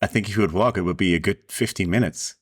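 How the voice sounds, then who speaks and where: Man, 30s, lowish voice, relaxed webcam chat with lots of ums. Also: fast talker, breathy edge. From Netherlands. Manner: relaxed, frequent "um" and "uh" fillers. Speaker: indie app developer, co-host. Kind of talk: casual video-call chat. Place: indoors by wooden doors. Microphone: video call webcam.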